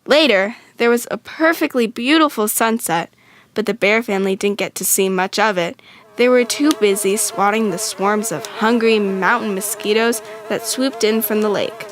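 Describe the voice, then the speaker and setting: A woman narrating a children's storybook from a script, expressive and clearly phrased. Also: mid-range voice, moderate pace.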